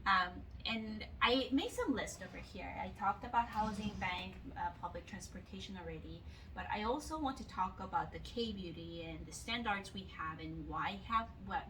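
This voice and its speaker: Bright-voiced Korean woman vlogging. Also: mid-range voice, normal speed. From South Korea. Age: 30s. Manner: reflective, searching for words as she goes. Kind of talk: casual talk to camera. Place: home in Seoul. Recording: voice a bit distant from the mic with faint room hum.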